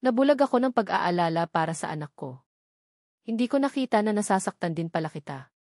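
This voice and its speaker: A woman's voice: lowish voice, lively intonation, brisk, a little mellow, dry recording, studio quality. Woman voicing a remorseful, tender elderly mother-in-law. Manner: tearful, apologetic.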